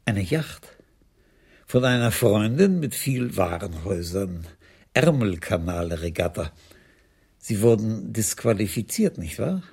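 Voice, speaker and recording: A man's voice: on the low side, sing-song, measured pace, darkish tone, slightly rough, heavily acted. A male actor in a radio crime drama playing an unflappable, impish police commissaris in his sixties with a dry, teasing manner. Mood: needling. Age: 60s.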